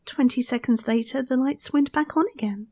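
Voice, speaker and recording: A woman's voice: high voice, moderate pace, darkish tone, no room sound, studio quality. An actress playing an anxious, earnest young woman, a professor's daughter, in a vintage-style radio mystery. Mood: shaken. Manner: earnest, dramatic.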